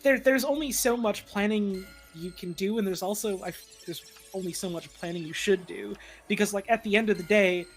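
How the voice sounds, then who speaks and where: Mid pitched fandub streamer rambling casually, halting, acts out quotes, music in the back. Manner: halting, rambling, animatedly quotes own thoughts. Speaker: fandub voice performer on a livestream. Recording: music playing behind the voice. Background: background music.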